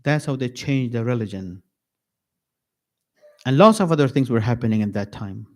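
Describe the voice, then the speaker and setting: A man giving a mourning sermon at an Islamic center, slow and emphatic, repeating key phrases with pauses. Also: mid-range voice, expressive.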